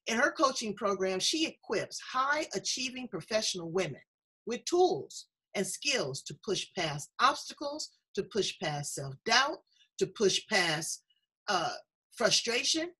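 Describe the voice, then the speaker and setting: A woman hosting a conversational interview, talkative, effusive and playful, with a husky voice. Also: medium pitch, bright, forceful tone. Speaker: show host interviewing a guest.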